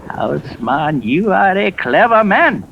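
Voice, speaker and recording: A man playing an imperious, cold-blooded German general in an old-time radio drama, commanding and deliberate. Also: high voice, sing-song, vocal fry, dull audio, exaggerated voice. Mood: pleased, scheming. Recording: noisy old radio recording.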